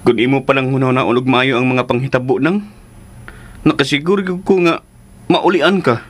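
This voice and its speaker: An actor with a Cebuano accent playing a folksy, feisty and protective uncle who teases and blusters in a radio drama. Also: male voice, mid-range voice, steady tone, normal speed, lightly acted. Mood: sympathetic. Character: feisty, teasing, protective uncle who stands his ground.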